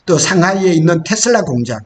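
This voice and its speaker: A man's voice: high-pitched, animated, fast, a little hiss or hum. A man presenting industry news in an informal explanatory talk, stopping and starting, repeating key phrases and correcting himself.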